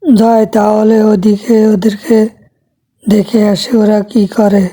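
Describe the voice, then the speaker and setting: Woman as a mellow cartoon mother bird. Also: medium pitch, flat delivery, slow pace, breathy edge, pitched above the performer's own voice. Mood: worried. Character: caring mother tailorbird searching for her little chicks.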